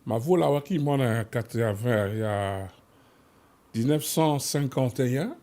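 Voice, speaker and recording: Gravelly, mellow Congolese man presenting. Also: mid-range voice, expressive, unhurried. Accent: Congolese, Kinshasa. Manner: switches between French and Lingala. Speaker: host of a music history programme. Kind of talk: conversational presenting and storytelling.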